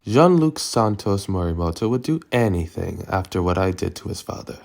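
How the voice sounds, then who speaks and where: A man's voice: low-pitched, big pitch swings, moderate pace, gravelly, a little mellow, heavily acted. A man playing a grave businessman and father under threat, in an audio drama. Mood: grave, troubled.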